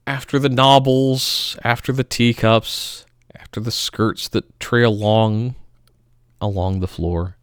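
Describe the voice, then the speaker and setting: A man giving a theatrical, melodramatic poetry reading, swinging between loud outbursts and hushed murmurs. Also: mid-range voice, smooth, no room sound, studio quality. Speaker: poetry reader.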